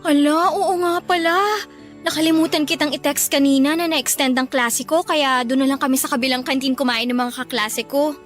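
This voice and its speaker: A woman's voice: high voice, fairly even intonation, moderate pace, soft background music, natural voice. An actress playing an evasive, easily irritated young college woman in a Filipino radio drama, with a Filipino accent. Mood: apologetic, evasive. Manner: exasperated, dismissive.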